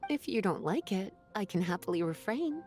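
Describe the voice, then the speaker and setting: A woman's voice: medium pitch, very animated, moderate pace, a little mellow, soft background music, close to an everyday voice. A woman playing a sultry, teasing librarian in a video game, coy, languid and playful.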